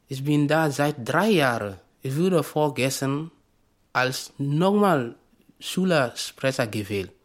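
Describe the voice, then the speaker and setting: A school student speaks slowly in a personal interview. The voice is mid-pitched, with halting, emphatic phrasing from someone speaking a second language. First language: not German. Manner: halting non-native German, emphatic.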